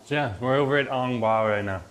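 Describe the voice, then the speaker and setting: A man casually chatting on a live stream from a Vietnamese restaurant, talking easily, reading chat messages aloud and laughing often. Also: brisk. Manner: says he has a loud voice and lowers it. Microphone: phone.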